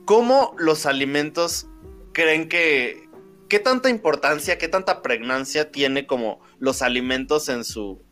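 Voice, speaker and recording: A man's voice: fairly high pitch, animated, unhurried, fairly bright tone, faint music behind. A man interviewing someone, fumbling hesitantly through his questions.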